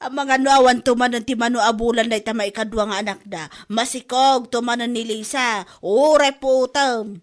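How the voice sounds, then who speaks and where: A woman's voice: a little high, normal speed, natural voice. A woman with an Ilocano accent playing a feisty, exclamatory village woman in a comedy drama. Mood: complaining.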